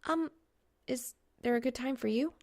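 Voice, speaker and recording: Woman voicing a cocky young gamer girl, pitched up. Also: moderate pace, darkish tone, no room sound, clean studio sound. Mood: shy, flustered. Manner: teasing, cocky. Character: snarky young woman gamer, haughty, a little awkward.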